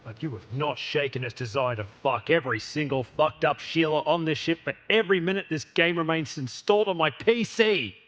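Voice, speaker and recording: An actor playing a crude, cocky, laid-back spaceship captain in a video game, talking in brash, blokey slang. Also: male voice, on the high side, brisk, hardly any pauses, a bit bright, highs cut off, lightly acted. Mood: crude, bold. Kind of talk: video game character dialogue.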